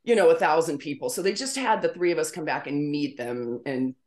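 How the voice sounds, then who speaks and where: A woman's voice: deep voice, fairly quick, darkish tone. A middle-aged woman, a guest on a video call interview, telling stories in a chatty way and laughing often. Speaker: interview guest, former model. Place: home room with framed pictures on the wall.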